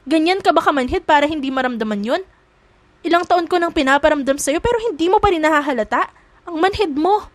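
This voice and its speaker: A woman's voice: sits high, animated, fast talker, no echo, pitched above the performer's own voice. A woman voicing a feisty young maid in a romance audio drama, scolding and emphatic, angry and hurt in love.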